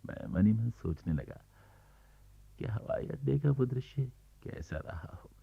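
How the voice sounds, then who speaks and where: A man playing the reflective narrator of a radio play, telling the story in a measured, sympathetic way. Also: lowish voice, animated, gravelly, breathy edge, exaggerated voice. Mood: storytelling. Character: reflective male narrator, sympathetic observer of grandmother. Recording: tinny, narrow-band archival radio recording.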